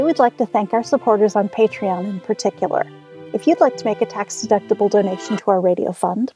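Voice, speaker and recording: A woman's voice: mid-range voice, warm tone. A woman hosting a radio show, reading scripted announcements.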